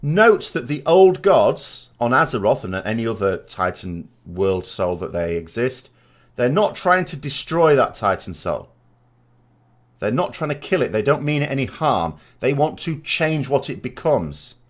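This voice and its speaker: A man's voice: mid-range voice, big pitch swings, measured pace. A man giving informal, speculative commentary as a gaming vodcast host, reasoning aloud as he goes. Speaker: video game lore commentator and vodcast host.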